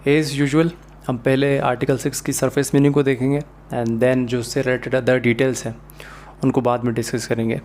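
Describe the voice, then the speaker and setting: A man's voice: mid-range voice, darkish tone. A man with a Pakistani accent giving a methodical explanatory lecture, mixing in English legal terms and setting out points one by one. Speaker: law lecturer.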